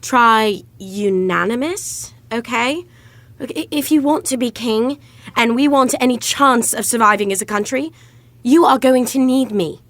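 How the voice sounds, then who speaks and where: A woman's voice: on the high side, unhurried, a bit bright, dry recording. An actress playing a studious, insistent young woman in a romantic comedy audio drama, wry and a little flustered in her confidence. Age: young adult. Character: brisk, bossy, studious young woman scheming fake engagement.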